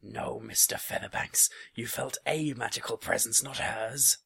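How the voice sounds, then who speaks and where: A man acting a forceful, menacing boss. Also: medium pitch, fairly even intonation, measured pace, gravelly, slightly rough, voice pushed up, heavily acted. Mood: angry. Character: stern, menacing male boss, angry and threatening. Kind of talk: audiobook character dialogue.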